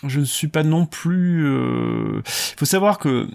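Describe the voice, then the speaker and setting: A man's voice: a little high, slow pace, creaky, darkish tone, dry recording. A young man hosting a solo podcast into a close desk mic, thinking aloud unscripted with many restarts and fillers. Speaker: podcast host, doctoral student.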